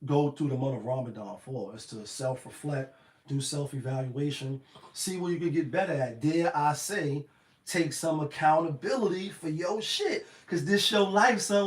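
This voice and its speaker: A man with a slightly raspy voice giving a casual, rambling motivational talk full of slang and "you know what I mean.". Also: a little high, roomy sound. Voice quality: a little raspy. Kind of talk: casual motivational monologue to camera.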